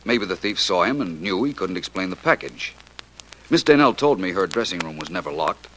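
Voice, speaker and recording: A low, forceful voice for an urbane detective in a vintage radio play. He is crisp and self-assured, with animated swings in intonation, over a slightly noisy old recording. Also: a little fast. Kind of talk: 1940s-50s radio drama acting.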